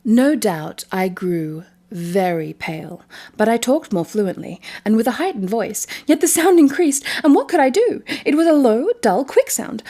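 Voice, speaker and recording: A woman voicing an unhinged murderer narrating a gothic horror story, theatrical and emphatic, falsely calm over rising mania. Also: sits high. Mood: uneasy. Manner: theatrical, emphatic, repeating words with mounting urgency.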